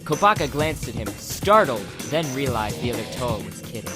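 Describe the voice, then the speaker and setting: A man's voice: mid-range voice, very animated, moderate pace. A man reading scripted audiobook narration as the narrator of a dramatized story. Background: background music.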